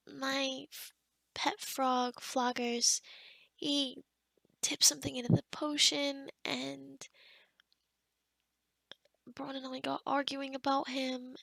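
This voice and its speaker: A woman playing a kind, awkward young forest witch in an ASMR roleplay, speaking softly and hesitantly, often close to a whisper. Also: high voice, vocal fry, dry recording, exaggerated voice. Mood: sheepish storytelling. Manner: hesitant, rambling, softly spoken with whispery stretches. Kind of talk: ASMR roleplay addressed to the listener.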